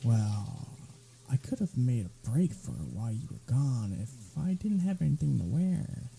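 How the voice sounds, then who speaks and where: A man's voice: medium pitch, very animated, normal speed, gravelly, warm tone, a little hiss or hum. A man playing a smug, centuries-old vampire in an intimate ASMR roleplay, teasing with a sarcastic drawl. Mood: exasperated, teasing.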